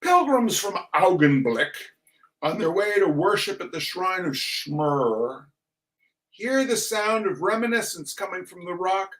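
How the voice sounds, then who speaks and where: A man giving a theatrical reading of a humorous text in a mock-solemn, deliberate manner with dramatic pauses. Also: high voice, big pitch swings, fairly bright tone, poor audio. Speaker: reader of a comic essay.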